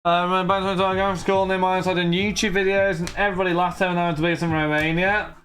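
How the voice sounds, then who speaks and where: A man's voice: high voice, flat delivery, fast, a bit bright, soft background music. A young man in his twenties casually streaming, rambling and chatty as he answers his viewers' messages. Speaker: streamer opening trading cards. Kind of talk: casual live-stream chat.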